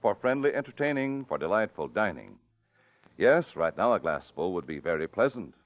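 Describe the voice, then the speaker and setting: A man reading scripted sponsor copy as a 1940s radio announcer, with a measured, savouring delivery. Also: low-pitched, very animated. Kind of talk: 1940s scripted advertising read. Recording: tinny, narrow vintage radio broadcast.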